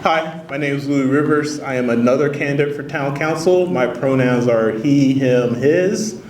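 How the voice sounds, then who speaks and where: A man running for town council introducing himself at a public forum in a measured, plainspoken way. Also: mid-range voice, darkish tone. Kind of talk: candidate introduction. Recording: echoey room, distant mic, faint noise.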